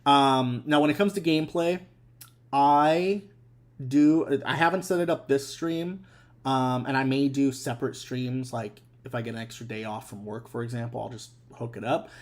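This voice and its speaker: A man's voice: medium pitch, steady tone, moderate pace. A young adult man hosting a casual live stream, rambling with many fillers and restarts. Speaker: streamer and host.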